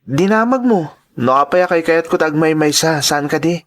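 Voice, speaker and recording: Man acting earnest young Ilocano student, lightly high voice. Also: lively intonation, moderate pace, close to an everyday voice. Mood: grateful, tender. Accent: Filipino, Ilocano. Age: young adult. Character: earnest, grateful young male working student. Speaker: drama actor. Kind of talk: sentimental radio-style drama acting.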